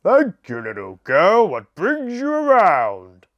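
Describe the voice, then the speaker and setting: A woman voicing a big, sleepy, hungry male bear in a storybook, speaking slowly and loudly. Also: mid-range voice, huge pitch swings, no echo, lo-fi sound, voice pushed up. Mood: drowsy.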